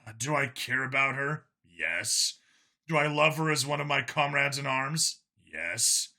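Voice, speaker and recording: Man playing a blunt, jaded cop, sharp-voiced. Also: medium pitch, expressive, creaky, heavily acted. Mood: troubled, unsure. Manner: halting, self-questioning, blunt. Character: jaded, blunt male alien-world cop, emotionally guarded.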